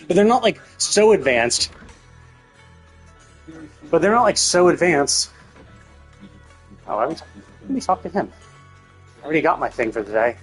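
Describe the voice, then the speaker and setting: A man's voice: fairly high pitch, expressive, normal speed, long pauses, faint music behind. A man giving casual live game stream commentary, musing aloud in a rambling way and rephrasing as he goes.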